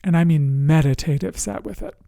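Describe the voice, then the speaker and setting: A resilience coach telling personal stories in a motivational talk, speaking earnestly and deliberately in short phrases with pauses. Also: mid-range voice, gravelly, mellow, no echo, studio quality. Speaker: host, emotional resilience coach.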